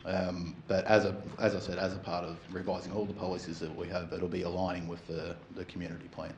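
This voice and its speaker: A very deep-voiced man answers a question for council, hesitant and halting with frequent ums. The room is echoey, with faint ambience. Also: level tone, a little hiss or hum. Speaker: council officer. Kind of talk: formal reply at a public meeting.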